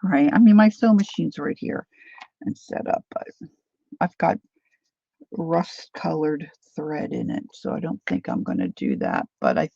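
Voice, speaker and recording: A woman in her sixties from Philadelphia talks slowly and rambles through a craft livestream, reading viewer comments aloud. Her voice has a gravelly rasp and carries her Philadelphia accent. The sound is dry and dull, like lo-fi livestream audio. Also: fairly low pitch, huge pitch swings, warm tone, no room sound. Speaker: craft channel host. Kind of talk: casual livestream craft chat.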